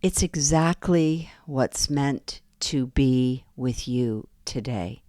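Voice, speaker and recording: Woman guiding yoga nidra, super slow soothing voice, dry close sound. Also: extremely low pitch, no echo. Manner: slow, soft and soothing, with long pauses. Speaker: meditation guide. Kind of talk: guided yoga nidra meditation.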